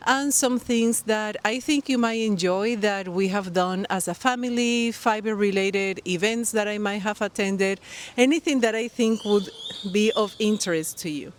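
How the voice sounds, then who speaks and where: Colombian woman chatting by a pool. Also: medium pitch. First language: Spanish. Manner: friendly, rambling, frequent fillers and restarts. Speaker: knitting podcast host. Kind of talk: casual vlog chat to camera. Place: outdoors by a pool near the beach. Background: birds and people nearby.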